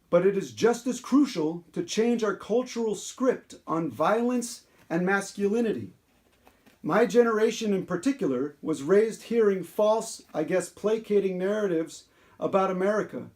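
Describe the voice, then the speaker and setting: A man voicing a chatty unicorn host of a children's-style story time, reading aloud earnestly with halting asides. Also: sits high, darkish tone. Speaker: show host.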